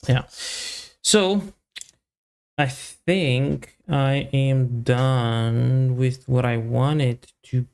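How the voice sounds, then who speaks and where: Male developer livestreamer, casual think-aloud delivery, very slow and fragmented, lots of deep vocal fry, mid-pitched. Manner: halting, muttering to himself in short fragments. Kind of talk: casual live coding commentary, thinking aloud.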